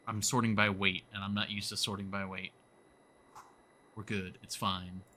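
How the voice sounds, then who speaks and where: Bright-voiced man streaming a game. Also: lowish voice, level tone, a little slow, slight background noise. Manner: thinks aloud, talks to chat. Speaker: livestreamer playing a survival game. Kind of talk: casual gameplay commentary.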